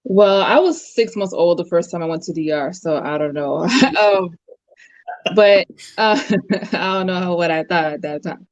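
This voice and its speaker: A woman's voice: fairly low pitch, a little slow, no echo. Young american woman chatting on a call, reflective, lots of ums, dry muffled sound. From United States. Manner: reflective, many fillers and restarts. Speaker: guest. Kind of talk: casual conversation.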